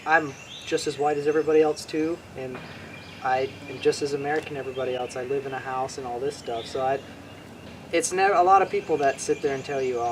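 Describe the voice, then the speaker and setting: A man from Hawaii, a bushcraft instructor, chatting casually as a guest, relaxed and rambling with many restarts. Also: mid-range voice, background noise. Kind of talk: casual interview chat.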